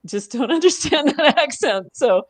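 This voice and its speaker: A woman's voice: sits high, lively intonation, moderate pace, no room sound. Woman guest, easygoing and thoughtful, laughs often; close, dry recording. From Canada. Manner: warm, thoughtful, laughs often, frequent restarts. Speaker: podcast guest. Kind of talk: casual interview chat.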